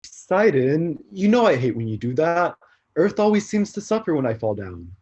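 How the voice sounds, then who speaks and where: A man's voice: fairly high pitch, sing-song, moderate pace, a little mellow, husky. A man playing a clumsy young god of destruction, earnest and frustrated, in a staged play reading. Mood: annoyed.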